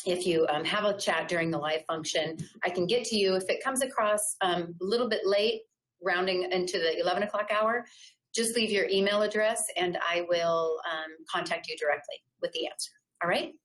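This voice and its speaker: A middle-aged woman from the American South presenting a live webinar, loud and enthusiastic, talking in a conversational way and often checking in with "right?". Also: on the low side, normal speed. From Southern United States. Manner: loud voice that carries.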